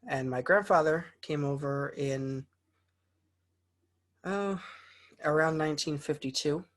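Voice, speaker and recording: A man's voice: fairly high pitch, takes it slowly, fairly bright tone. A young American man, a college student, speaking informally and a little hesitantly as he shares in an online class. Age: young adult. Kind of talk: informal spoken class presentation. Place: online class video call.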